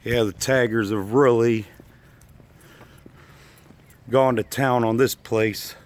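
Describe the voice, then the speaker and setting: A man with a slightly froggy voice from allergies, giving halting, musing, folksy commentary as he walks around exploring with a handheld camera. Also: mid-range voice, fairly even intonation. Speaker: urban exploration video maker. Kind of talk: casual unscripted walk-through commentary. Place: walking around an abandoned park site.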